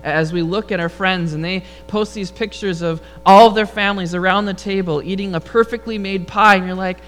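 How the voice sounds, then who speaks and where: A man with a high, rather feminine-sounding voice, preaching a relaxed, humorous sermon and leading prayer before a congregation. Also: a little fast, faint music behind, low-quality recording. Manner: relaxed, storytelling delivery with humor. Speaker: pastor. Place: church sanctuary before a congregation.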